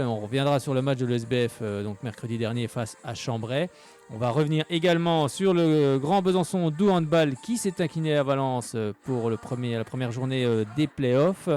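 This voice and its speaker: A man's voice: medium pitch, a little mellow. Chatty male radio sports host. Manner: chatty, many hesitant fillers. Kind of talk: live radio sports talk. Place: local radio studio.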